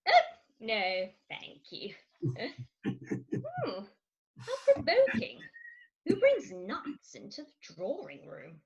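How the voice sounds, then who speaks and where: A woman's voice: a little high, huge pitch swings, drawn-out words, long pauses, breathy edge, full character voice. A woman playing a fretful, well-bred middle-aged mother in a staged reading of a Victorian farce. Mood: irritated. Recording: dull lo-fi remote recording.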